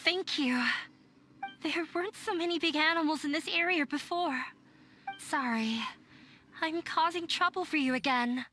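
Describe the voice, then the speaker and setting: A woman's voice: high voice, a little slow, sharp tone, a little hiss or hum. A woman voicing a downcast, self-blaming young student in a video game, with a resigned, hesitant manner. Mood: apologetic, resigned. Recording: game music underneath.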